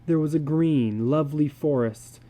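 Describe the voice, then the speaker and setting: A man giving a measured, deliberate dramatic reading of a literary story as its narrator. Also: a little high, dark tone.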